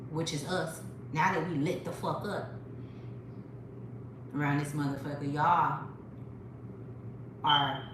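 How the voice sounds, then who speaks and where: Low-voiced woman preaching on a livestream. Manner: profane, preachy, addresses viewers directly. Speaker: livestream host. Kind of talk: spiritual livestream talk. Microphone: phone. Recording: very echoey room with noise.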